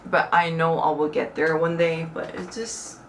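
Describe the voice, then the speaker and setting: A young Korean woman talks to her viewers in a casual, earnest way, her English carrying a Korean accent and a few halting turns of phrase. Her voice sits fairly low for a woman. She sounds a little far from the mic, with faint background hiss in the room. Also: fairly even intonation. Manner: chatty, earnest, with hesitant second-language phrasing. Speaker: vlogger. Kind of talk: casual talk to camera. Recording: slightly distant mic with faint room noise.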